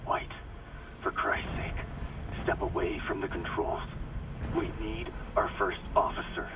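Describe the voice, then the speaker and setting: A man's voice: low-pitched, fairly even intonation, measured pace. A man playing a commanding, urgent second officer in a dramatic video game audio log. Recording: noisy, distant audio log.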